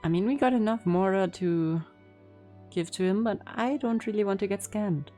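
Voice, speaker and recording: A woman's voice: mid-range voice, normal speed, dark tone, faint music behind. A woman streamer giving casual gameplay reactions, informal and joking as she thinks aloud. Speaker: game streamer.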